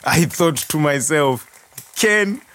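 An actor playing a proud, warm restaurant owner reminiscing in a theatrical storytelling monologue. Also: male voice, a little high, takes it slowly. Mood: amused, nostalgic. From Zimbabwe. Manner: animated, chuckling, theatrical storyteller. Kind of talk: dramatised monologue, storytelling to a customer.

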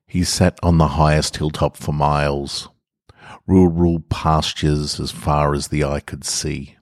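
A man's voice: a bass voice, level tone, measured pace, no echo, studio quality. A man hosting a podcast and reading short stories, with casual introductions and scripted narration. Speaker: podcast host and story reader.

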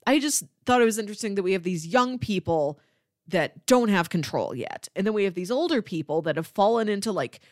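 A young woman talks casually as a podcast co-host, thinking out loud in an animated, reflective way. Her middle-pitched voice moves around a great deal, and the sound is dry, with hardly any room to it. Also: brisk, dry recording. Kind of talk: casual film discussion.

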